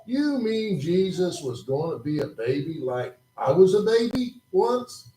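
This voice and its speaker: A man's voice: high-pitched, sing-song, measured pace, warm tone, rough recording. A man voicing a curious, playful young boy puppet in a church children's puppet show, asking questions and cracking jokes. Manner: questioning, jokey and full of wonder.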